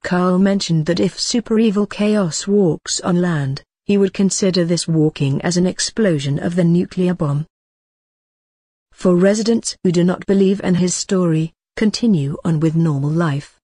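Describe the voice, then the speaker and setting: A woman voicing a formal emergency broadcast announcer, reading a scripted alert in a grave, measured, deliberate way. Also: lowish voice, warm tone, slightly rough, no room sound, clean studio sound.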